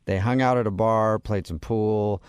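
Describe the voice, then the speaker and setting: A man hosting a radio show with teasing, joking banter in a lively style. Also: on the low side, normal speed, clear, resonant tone, dry recording, clean studio sound.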